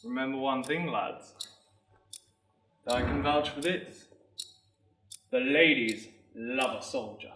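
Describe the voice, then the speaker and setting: A man playing a rousing army sergeant major recruiting in a town square, with loud, declamatory oratory in a theatrical monologue. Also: mid-range voice, slow, long pauses, slight background noise, pitched below the performer's own voice. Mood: confiding, persuasive. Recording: roomy, distant microphone.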